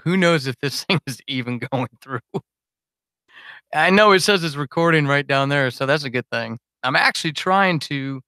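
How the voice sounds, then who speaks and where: A man's voice: a little high, normal speed, bright, forceful tone, clear, resonant tone, no echo, clean studio sound. A man chatting casually and unscripted to his supporters on a livestream, rambling, informal and self-deprecating as a podcast host.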